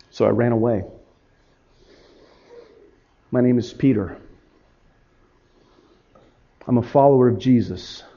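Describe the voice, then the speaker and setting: A man performing a guilt-ridden disciple confessing his betrayal in a halting, emotional dramatic biblical monologue. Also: fairly high pitch, lo-fi sound. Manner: halting, emotional, swinging from hushed to shouting.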